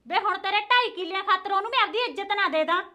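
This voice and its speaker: A woman acting a sharp-tongued village wife who scolds with biting, quick retorts. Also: very high-pitched. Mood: hushed scolding. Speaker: actor in a family quarrel skit.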